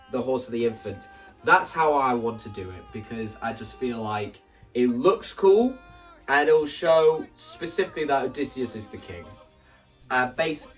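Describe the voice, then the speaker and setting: A man gives a casual, animated walkthrough of staging ideas. He sounds some distance from the microphone, and music plays underneath as he talks. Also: medium pitch. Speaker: video creator explaining stage play ideas. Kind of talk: casual spoken walkthrough to camera.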